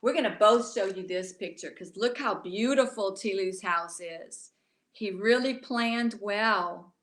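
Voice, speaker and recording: Woman storyteller for children's picture book: slow, expressive delivery, talks straight to kids, roomy sound. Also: mid-range voice. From Louisiana. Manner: expressive, addresses young listeners directly. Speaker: children's author reading aloud. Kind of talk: bedtime story read aloud to children.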